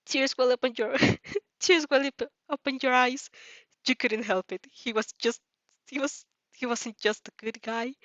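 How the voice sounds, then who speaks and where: A woman narrating fan fiction as an amateur, excitable and giggly, stumbling over words and reacting as she goes. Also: sits high, unhurried, no room sound, lo-fi sound.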